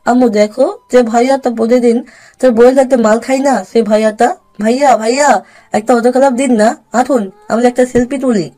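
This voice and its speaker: Woman voicing a whiny little boy, mellow tone. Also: on the high side, fairly even intonation, fairly quick, lightly acted. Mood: cheeky. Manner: childish, pleading. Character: whiny little boy pestering his mother. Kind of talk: audio story acting. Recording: music behind the voice.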